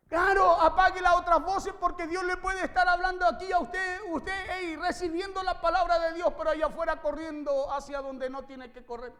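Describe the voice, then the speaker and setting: A man's voice: extremely high pitch, a bit bright. A man preaching an impassioned sermon to a congregation, exhorting listeners and repeating phrases for emphasis. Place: large reverberant room, preaching to a congregation. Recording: reverberant hall sound.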